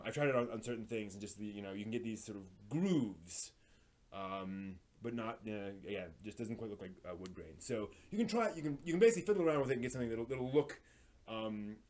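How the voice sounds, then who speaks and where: A man hosting a casual live stream, explaining hobby projects in a halting, thinking-aloud way. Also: medium pitch, a little mellow.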